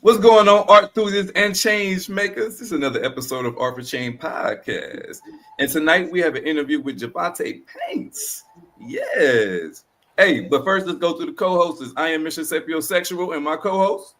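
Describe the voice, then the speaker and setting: A man hosting a podcast, opening the show with energetic, slangy banter. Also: normal speed. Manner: energetic, slangy, lively shifts in pitch. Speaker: podcast host. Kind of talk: hype podcast intro.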